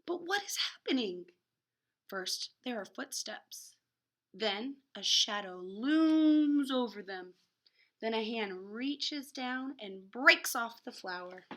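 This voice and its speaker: Female storybook reader, higher-pitched and bright, teacherly, expressive delivery with casual asides for young listeners. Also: takes it slowly. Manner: dramatic emphasis, gasps and exclamations. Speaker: children's picture book reader and teacher. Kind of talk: expressive storybook read-aloud with casual chatty asides to children.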